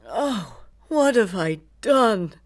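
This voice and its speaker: A woman voicing a frightened man who built a creature, speaking high and shrill in a storybook reading. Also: sing-song, very slow, dry recording, studio quality, full character voice. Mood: despairing.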